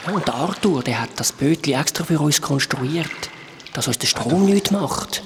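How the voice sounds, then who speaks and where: An actor doing a patient explaining guide. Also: male voice, fairly high pitch, animated, normal speed, gravelly. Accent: Swiss German. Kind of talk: children's radio play acting. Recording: noisy background.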